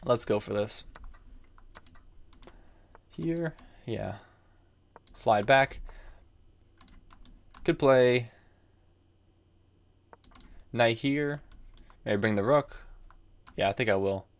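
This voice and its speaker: Young American man in his early twenties, mid-pitched, talking through chess moves on stream over keyboard clicks. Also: very slow, long pauses. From United States. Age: young adult. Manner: thinking aloud, musing and reading chat. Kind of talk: live commentary while playing chess.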